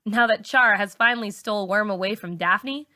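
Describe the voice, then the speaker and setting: A teacher reading a novel aloud in the first person, telling the story expressively. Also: female voice, medium pitch, moderate pace, bright, forceful tone, dry recording, clean studio sound. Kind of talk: audiobook-style novel reading.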